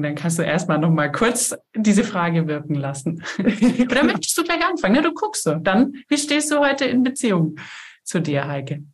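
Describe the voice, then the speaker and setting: Woman, co-host, conversational, warm, slightly low pitch. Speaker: podcast co-host. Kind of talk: casual chat.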